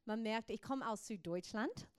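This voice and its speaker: A woman in her thirties from Australia, a pastor who speaks German as a learned language with an Australian accent, giving a casual sermon with many fillers and quiet prayers. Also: fairly high pitch, dry recording. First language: English. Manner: chatty and warm with many ums, soft and slow in prayer.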